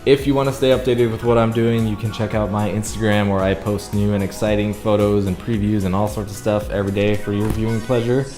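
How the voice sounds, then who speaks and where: A young man with a very deep baritone voice, chatting earnestly to his viewers in a casual vlog, full of "like" fillers. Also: level tone, a little fast, hardly any pauses, darkish tone, reverberant, faint music behind. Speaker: YouTuber and singer.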